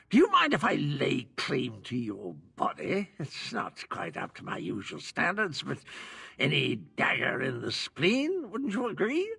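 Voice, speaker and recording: A man voicing an undead severed head of a mad, boastful knight in a video game, gravelly, theatrical and mock-polite. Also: fairly high pitch, pushed and bright, exaggerated voice. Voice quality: gravelly, raspy. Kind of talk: video game character acting.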